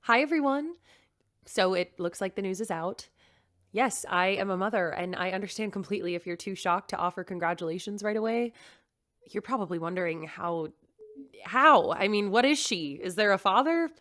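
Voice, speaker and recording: Actress as an earnest computer-mom, mid pitch, nervous and rambling, audio drama. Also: female voice, animated, moderate pace, a bit bright, lightly acted. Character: computerized woman store owner, new mother, earnest. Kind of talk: audio drama acting, recorded message.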